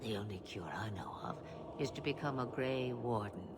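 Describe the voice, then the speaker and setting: A woman's voice: rumbling low, animated, normal speed. Sly, theatrical old witch, noisy backdrop. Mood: grave. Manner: theatrical, musing, teasing. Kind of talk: video game voice acting.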